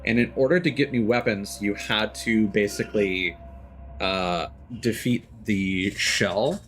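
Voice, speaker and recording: A man's voice: fairly low pitch, measured pace, fairly bright tone, a little hiss or hum, low-quality recording. A man streaming a video game, thinking aloud in casual commentary that rambles through frequent ums and restarts.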